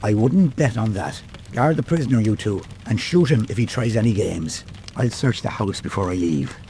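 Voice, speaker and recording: Casting: stern police captain played by a man, mellow lowish voice, curt commands, audiobook drama, some background noise. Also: lively intonation, moderate pace. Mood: commanding. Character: stern, unyielding male police captain on duty. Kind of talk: audiobook character acting.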